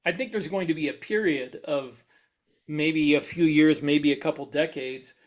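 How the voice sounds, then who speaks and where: Male co-host, mildly higher-pitched, relaxed discussion style, conversational and curious. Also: moderate pace. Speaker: podcast co-host. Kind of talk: casual discussion.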